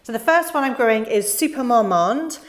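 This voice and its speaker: A woman's voice: a little high, expressive, normal speed. A young British woman hosting her own gardening channel, explaining tomato varieties in a friendly, chatty way with the odd filler. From United Kingdom. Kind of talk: casual instructional voice-over.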